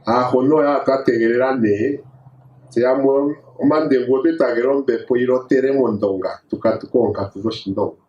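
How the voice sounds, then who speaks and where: A man giving a measured formal address at a gathering. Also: mid-range voice, darkish tone. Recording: echoey, dull lo-fi sound.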